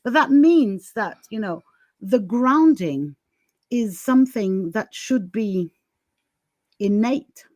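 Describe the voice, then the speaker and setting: Woman podcast host, kinda high voice, chatting frank n emphatic, chuckly, really dry close sound. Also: animated, slow pace, darkish tone, dry recording. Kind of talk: candid casual conversation.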